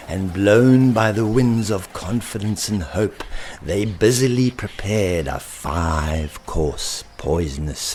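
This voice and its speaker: A man reading a story as its author, theatrically, with dramatic drawn-out phrasing that drops into hushed whispers. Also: deep voice, slight background noise.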